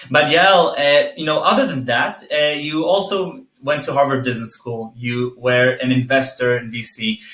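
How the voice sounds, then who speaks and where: A man's voice: medium pitch, a bit bright, roomy sound. A man hosting an interview podcast, enthusiastic and often repeating words as he starts a question. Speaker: podcast host.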